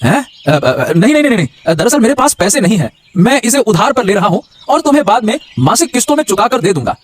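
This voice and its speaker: High-voiced, meek village husband. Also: male voice, sing-song, quick pace, slight background noise. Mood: anxious pleading. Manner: hesitant, pleading, repeats phrases. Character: poor, meek, apologetic village husband, anxious and pleading. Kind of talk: melodramatic Hindi cartoon voice acting.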